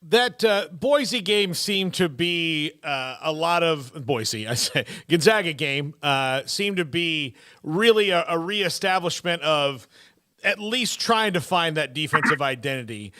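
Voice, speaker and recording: A man's voice: fairly high pitch, expressive, unhurried, bright, forceful tone, dry recording, clean studio sound. A man co-hosting a sports radio interview, asking long, winding questions.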